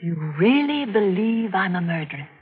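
An actress playing a cunning, passionate widowed murderess in an intense 1940s radio mystery. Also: female voice, mid-range voice, expressive, normal speed, slightly rough. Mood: challenging. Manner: intense, melodramatic. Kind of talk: 1940s radio mystery acting, narrating and dialogue. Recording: muffled, noisy old radio broadcast.

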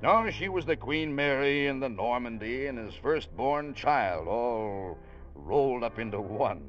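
An actor playing a wry, easygoing ship's officer, narrating in a folksy, conversational way in an old radio drama. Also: male voice, mid-range voice, lively intonation, unhurried, faint music behind, natural voice. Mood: wry, reminiscing. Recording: dull vintage radio recording.

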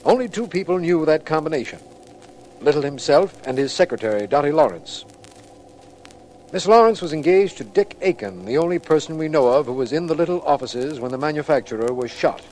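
Brisk radio district attorney, acted by a man, slightly noisy. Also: on the high side, animated, a little mellow, close to an everyday voice. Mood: matter-of-fact. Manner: official, matter-of-fact. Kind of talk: 1940s radio drama acting and case narration. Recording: noisy old radio recording.

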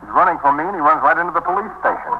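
An actor playing an urgent narcotics detective in a 1950s radio drama, hurried and deferential to his superiors. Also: a little high, animated, close to an everyday voice. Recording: tinny, noisy 1950s radio recording.

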